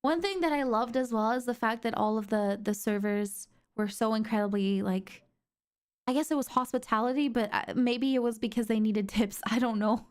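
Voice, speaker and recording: A young woman streamer with a sore throat, chatting excitably and rambling with lots of fillers. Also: a little high, moderate pace, clean tone. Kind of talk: casual stream chat.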